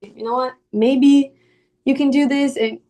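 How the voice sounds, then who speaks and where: Brazilian-accented young woman, relaxed. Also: sits high, measured pace. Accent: Brazilian Portuguese. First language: Portuguese. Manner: relaxed, uses "like" as filler. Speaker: co-host. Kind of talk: casual teaching conversation.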